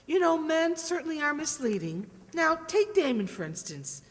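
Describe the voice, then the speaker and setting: Woman acting a high-voiced, animated radio sitcom housewife. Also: normal speed. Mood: gushing. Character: spirited, chatty, starry-eyed 1940s-style housewife. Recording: long hall reverb.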